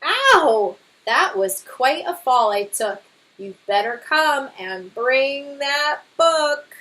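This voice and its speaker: Woman acting storybook papa bear, boastful, bumbling, emphatic, unhurried, fairly high voice. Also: big pitch swings, sharp tone, full character voice. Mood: pained, bossy. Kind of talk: storybook read-aloud.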